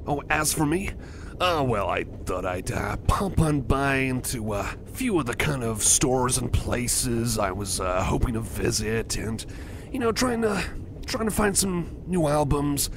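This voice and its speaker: Man acting as a bashful male cyborg, ASMR roleplay, medium pitch, nervous stammer, apologetic, clear train rumble in background. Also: very animated. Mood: shy, chatty. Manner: stammering, halting, nervous repetitions. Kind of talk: roleplay ASMR, addressing the listener. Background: train ride ambience.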